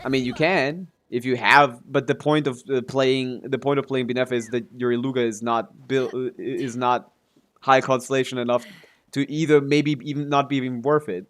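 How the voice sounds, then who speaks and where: A man's voice: medium pitch, steady tone, moderate pace. A male streamer with a mid-range voice talks casually over his gameplay. He chats as if replying to viewers, often trailing off or hedging as he works through a thought. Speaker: video game streamer. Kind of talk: casual livestream gaming commentary and chat.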